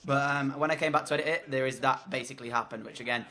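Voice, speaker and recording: A man talking through his football video game match as voice-over, hoarse from losing his voice, rambling in a chatty play-by-play with frequent fillers. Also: mid-range voice, fairly even intonation, rapid-fire, pushed and bright. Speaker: gaming commentator.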